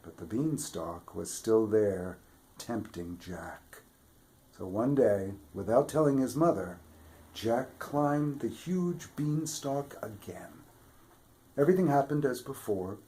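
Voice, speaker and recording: A man reading a children's fairy tale aloud with expressive delivery, dropping to whispers for suspense. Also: fairly low pitch, slow. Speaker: storybook reader, theatre regular. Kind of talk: children's story read-aloud.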